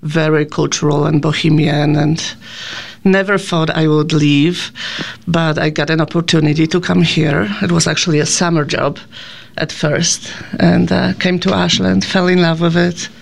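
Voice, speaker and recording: Gravelly Polish-accented woman in her fifties. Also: low-pitched, fairly even intonation, moderate pace. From Poland. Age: 50s. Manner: effusive and nostalgic. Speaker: guest. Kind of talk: radio interview.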